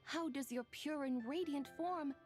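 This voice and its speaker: A woman voicing a proud, serene female immortal in a video game, speaking formally and in measured, stately phrases. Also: high-pitched. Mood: reverent, solemn. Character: proud, serene female immortal moon-maiden, aloof toward mortals. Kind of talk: scripted video game dialogue and poem recitation. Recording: clean studio voice over game music.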